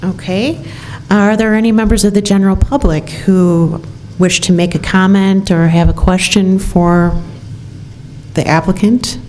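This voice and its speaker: A woman's voice: medium pitch, steady tone, a little slow, darkish tone, a little hiss or hum. A woman chairing a city commission meeting, formal and procedural. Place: council chamber.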